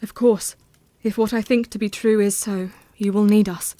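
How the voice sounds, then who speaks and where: A woman's voice: medium pitch, fairly even intonation, moderate pace, warm tone, dry recording, lightly acted. A woman playing a frank, troubled but resolute Victorian wife in an audio drama, speaking formally and earnestly.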